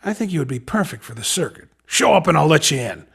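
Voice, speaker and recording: Underground race recruiter, video game villainous hustler, cocky, insinuating, husky, very lively swoops in pitch. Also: male voice, fast talker, heavily acted. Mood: slick, enticing. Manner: cocky, insinuating salesman patter. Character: slick, pushy underground hoverboard race recruiter, male hustler. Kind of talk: video game character dialogue.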